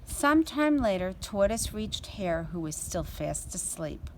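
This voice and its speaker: Female reader for a children's tale, slow and expressive, mid-range voice. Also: mid-range voice, a little hiss or hum, poor audio. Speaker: storyteller. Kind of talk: children's story read aloud.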